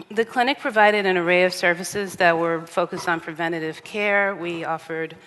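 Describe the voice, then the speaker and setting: A woman artist giving a measured conference talk from a lectern, pausing often with ums. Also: fairly low pitch, a bit bright, long reverb tail, poor audio. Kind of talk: lecture with slides. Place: large theater stage. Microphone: reading at a lectern.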